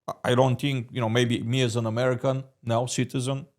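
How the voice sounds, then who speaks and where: A man's voice: medium pitch, little pitch movement, brisk, darkish tone. A man whose first language is Romanian, hosting a podcast and asking probing questions with hesitant restarts. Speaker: podcast host. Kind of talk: interview questions.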